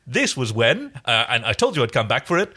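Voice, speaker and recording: A man co-hosting a casual recap chat about television, talking in an animated, amused way. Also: medium pitch, fairly quick, breathy edge. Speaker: podcast co-host.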